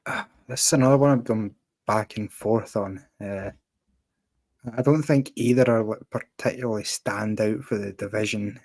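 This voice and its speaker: A man's voice: lowish voice, fairly even intonation, moderate pace, a little mellow, no echo. A man on a fight picks panel, reading out odds in a brief matter-of-fact way. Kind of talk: casual betting picks chat.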